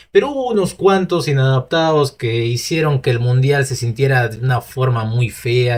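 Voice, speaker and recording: Peruvian Spanish man, mid-pitched, chatty solo pundit who restarts lines and asks himself questions. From Peru. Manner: talkative, restarts often, rhetorical asides. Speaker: football opinion host. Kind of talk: solo opinion commentary.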